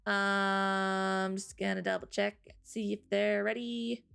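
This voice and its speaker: A woman hosting a live stream in casual chat, bubbly and quick to laugh, still waking up. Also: mid-range voice, flat delivery, gravelly, a bit bright, smooth, faint music behind. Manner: giggly, bubbly, laughs often. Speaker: streamer host.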